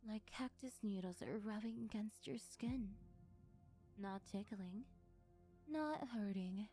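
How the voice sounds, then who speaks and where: A woman playing a possessive, taunting female sleep paralysis demon in an ASMR roleplay, breathy and often whispering close to the mic, with a teasing, menacing intimacy. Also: mid-range voice, mellow. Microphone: close ASMR microphone.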